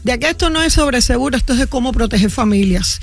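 A woman's voice: a little high, expressive, fast talker, vocal fry, soft background music. A woman with a Cuban accent, a guest in an interview, talking fluently and persuasively with frequent hesitation fillers. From Cuba. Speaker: guest, insurance agency founder. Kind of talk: promotional interview talk.

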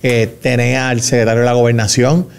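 Puerto Rican man, interview guest, measured, emphatic, restarts often, middle pitch. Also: flat delivery, darkish tone, a little hiss or hum. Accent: Puerto Rican Spanish. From Puerto Rico. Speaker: government spokesman guest. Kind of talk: radio interview.

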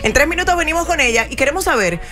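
A woman co-hosts a morning radio show in a playful, teasing manner, tossing affectionate names at her guest. Her voice is a bit high. Music runs clearly behind her throughout. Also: lively intonation, racing. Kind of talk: lively radio banter.

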